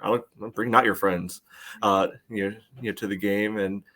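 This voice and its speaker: A man co-hosting a sports podcast, chatting casually with halting phrasing full of filler words. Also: on the low side, steady tone, pushed and bright. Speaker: podcast co-host.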